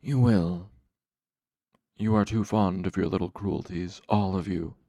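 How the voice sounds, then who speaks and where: A man voicing a hooded dark lord in a fantasy story, cool and controlled, speaking with slow, precise patience and quiet menace. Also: low voice, steady tone, creaky, no echo, clean studio sound, exaggerated voice. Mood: patient reproach. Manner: slow, patient, precise, sharpening to hard command.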